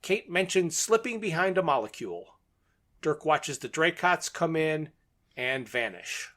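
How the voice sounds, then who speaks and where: A man's voice: fairly high pitch, expressive, unhurried, fairly bright tone. A man co-hosting a podcast, recapping a plot in a methodical, matter-of-fact way. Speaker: podcast co-host.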